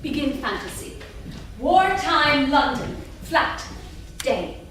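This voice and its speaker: A woman's voice: high voice, very animated, slow pace, a bit bright. Female stage-directions reader for a live play reading; distant, heavily reverberant recording with faint noise. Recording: echoey room from far off, faint noise.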